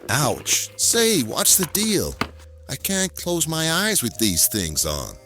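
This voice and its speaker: Male actor as hapless noir antihero for audio drama: wry deadpan, slangy, unhurried, very animated intonation. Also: fairly high pitch, music in the background, heavily acted. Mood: startled. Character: hapless hard-boiled man narrating his own seduction ordeal. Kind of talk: noir-style audio play narration and dialogue.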